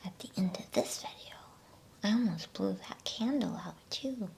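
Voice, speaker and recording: A woman whispering breathily and gently in a hushed ASMR style, as a host reading a bedtime storybook. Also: medium pitch, lively intonation, gravelly. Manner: gentle, slow, hushed delivery.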